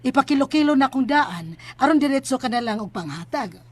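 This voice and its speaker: A generous, gentle madam and mother in a Filipino radio drama. The voice is slightly high with a breathy, husky grain. The accent is Filipino and the manner motherly. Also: animated, fairly quick. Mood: cheerful, generous. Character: kind, gentle, generous middle-aged madam and worried mother.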